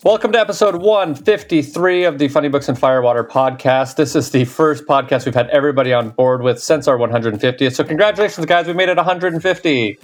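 A man's voice: on the high side, fast, hardly any pauses. A man hosting a podcast with friends in loose, playful chat, laughing as he goes. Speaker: podcast host. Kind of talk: casual chat.

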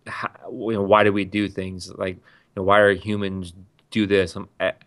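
A man's voice: fairly low pitch, a bit bright. A man chatting casually, rambling and often restarting his sentences as he tells stories. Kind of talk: casual podcast chat.

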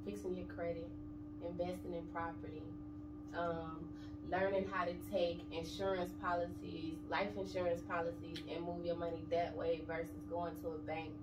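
A woman in her thirties, an interview guest talking casually and bluntly about her kids and money. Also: on the low side. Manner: blunt, streetwise, emphatic. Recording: echoey room, distant mic, noisy background.

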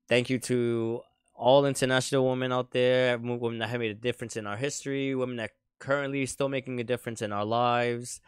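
A man's voice: mid-range voice, smooth, dry recording, clean studio sound. A man hosting a casual podcast. He chats loosely, laughs often and restarts his sentences. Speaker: podcast host. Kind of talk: casual chat.

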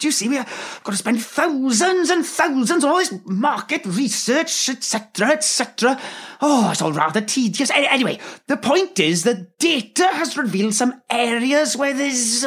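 A woman's voice: a little high, lively intonation, moderate pace, hardly any pauses, husky. A woman playing a dithering, posh TV producer in a comedy sketch, full of hesitations.

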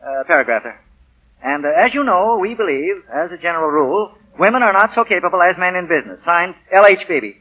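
An actor playing a proud, flustered young husband and new executive, hesitant and self-important, in 1940s radio style. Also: male voice, sits high, expressive, fairly quick. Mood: pompous. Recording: dull 1940 radio broadcast recording.

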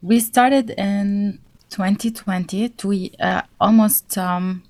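A young woman from Syria, a band guest in an interview, who answers in a halting way with many fillers and restarts. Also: mid-range voice, steady tone, darkish tone, dry recording.

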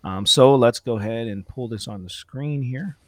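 A man with a slightly hoarse, strained voice talks through a church confession, reading passages aloud and stopping now and then to clear his throat. His pitch sits in the middle for a man. The sound is dry and close, with no room around it. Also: moderate pace, no room sound. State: slightly hoarse from outdoor preaching. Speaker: co-host discussing a church confession. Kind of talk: Bible study discussion show.

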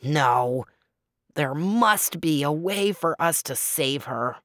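A woman reading a storybook who voices a slow, gentle old male turtle in a solemn way. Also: sits low, expressive, no echo, clean studio sound, heavily acted. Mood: earnest.

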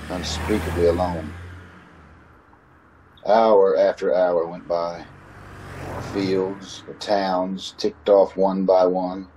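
Radio thriller lead, male actor: uneasy, haunted motorist, lowish mellow voice, dramatic storytelling. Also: a little hiss or hum, poor audio. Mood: haunted, dreading. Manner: measured narrating, nervous stammering in dialogue. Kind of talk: old-time radio drama narration and acting. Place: home, recorded over a video call.

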